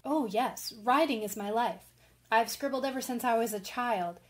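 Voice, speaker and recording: An actress playing an eager, earnest, warm-hearted young woman writer in an old-fashioned radio drama. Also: a little high, moderate pace, natural voice. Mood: enthusiastic.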